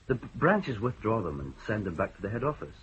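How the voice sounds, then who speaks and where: An actor playing a worried, indebted bank clerk in a radio drama, talking anxiously and hesitantly. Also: male voice, fairly low pitch, sing-song, fast, fairly bright tone, a little hiss or hum. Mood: explaining. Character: nervous bank clerk in debt, worried man. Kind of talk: 1970s radio drama acting. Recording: muffled old radio broadcast.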